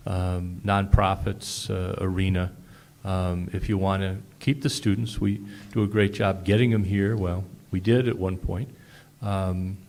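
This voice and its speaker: Low flat-voiced guy at a town meeting, lots of ums and restarts. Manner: halting, many ums and restarts. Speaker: resident commenting at public meeting. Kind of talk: public comment at open house.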